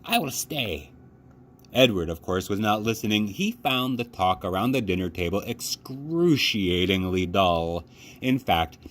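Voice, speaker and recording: A man reading a children's book aloud in an expressive storytelling style. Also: fairly low pitch. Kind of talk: expressive read-aloud narration. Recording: faint background noise.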